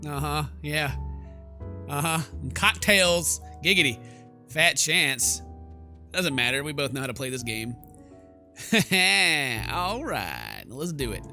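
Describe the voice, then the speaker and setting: A man's voice: a little high, very animated, takes it slowly, pauses often, bright, forceful tone. A man hosting a game playthrough, reading story text aloud and adding joking, playful asides. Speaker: gaming video host. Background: game music.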